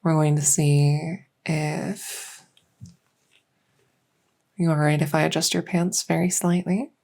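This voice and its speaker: A woman playing a gentle fae doctor in a soft-spoken ASMR medical roleplay, reassuring and unhurried, sometimes slipping toward a whisper. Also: deep voice, fairly even intonation, vocal fry, full character voice. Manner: unhurried, reassuring, hesitant pauses. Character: gentle female fae doctor with buggy wings and scaly tail. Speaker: audio roleplay performer.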